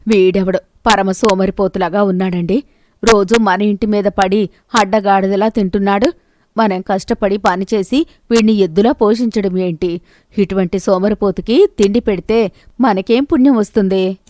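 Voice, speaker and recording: A woman plays a hardworking, outspoken village wife from a moral storybook tale. Her medium-pitched voice is emphatic and dramatic, recorded dry with no echo. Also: steady tone, moderate pace, a little mellow, close to an everyday voice. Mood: indignant. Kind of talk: Telugu moral story voice acting.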